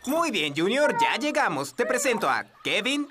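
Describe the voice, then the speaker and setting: Goofy cartoon animal guy, high voice, excitable carefree joker, swoops all over. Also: moderate pace, over loud music. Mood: breezy. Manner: excitable, joking, theatrical. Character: male jokey carefree animal camp counselor, prankster.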